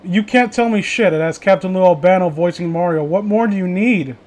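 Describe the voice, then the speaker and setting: Higher-pitched man in rambling casual chat. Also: fairly quick, darkish tone. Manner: profane, blunt, opinionated. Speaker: host of a casual group chat.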